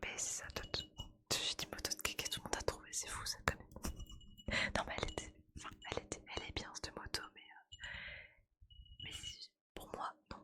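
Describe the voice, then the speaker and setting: A woman's voice: brisk, pauses often. A woman whispering breathily in a casual, playful ASMR chat, with slangy, colloquial speech as she streams to her viewers.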